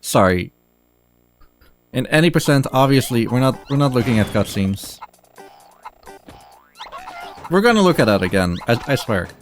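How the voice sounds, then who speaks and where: A man giving casual live commentary as he speedruns a game, halting and repeating phrases as he goes. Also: mid-range voice, big pitch swings. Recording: game music behind the voice.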